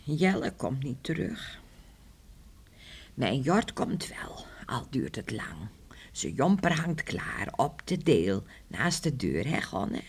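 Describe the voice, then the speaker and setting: A woman playing a wistful, confused old village wife in a radio drama, halting and repeating her words. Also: rumbling low, very animated, breathy edge, full character voice. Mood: wistful, dazed.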